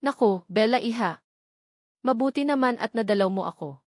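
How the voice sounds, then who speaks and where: A woman's voice: a little high, normal speed, dry recording, studio quality, close to an everyday voice. A woman with a Filipino accent voicing a kindly old grandfather who owns a farm, in an audiobook. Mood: grateful, fond.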